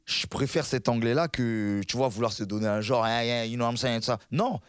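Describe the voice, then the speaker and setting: A man interviewing a guest in a relaxed, slangy way, stumbling over words and dropping in quick agreements. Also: medium pitch, clean tone, dry recording, studio quality. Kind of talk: casual interview chat.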